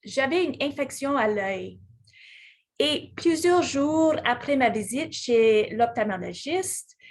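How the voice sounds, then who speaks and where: Slow, husky woman. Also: high voice, expressive. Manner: slow and deliberate, with small restarts. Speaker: conference speaker. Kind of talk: prepared keynote speech.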